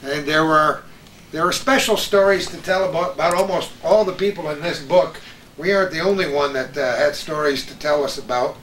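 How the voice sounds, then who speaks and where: Older male host, higher-pitched voice, unhurried reminiscing, casual chat, echoey room, faint noise. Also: a bit bright. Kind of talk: casual reminiscing chat about old radio.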